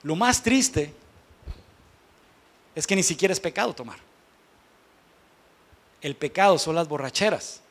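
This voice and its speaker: A Mexican pastor with a notably high voice for a man delivers a sermon to his congregation. He talks in a colloquial, conversational register, blunt and emphatic, often putting rhetorical questions to his listeners. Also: expressive, a little slow, pauses often, fairly bright tone.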